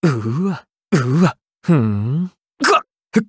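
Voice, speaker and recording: A man's voice: on the high side, very animated, slow pace, slightly rough, dry recording, exaggerated voice. An actor playing a cool, mocking young male assassin in an anime fighting game, with a detached, theatrical delivery. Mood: fierce exertion.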